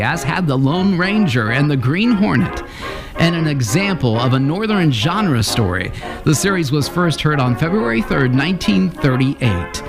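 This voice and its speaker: A man presenting a radio history series in scripted narration. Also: on the high side. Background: music.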